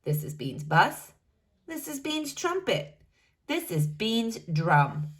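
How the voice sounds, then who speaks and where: A woman narrates a children's storybook for young listeners. She takes her time, with a highly animated, slightly high voice. Her delivery is expressive and deliberate. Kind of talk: children's read-aloud narration.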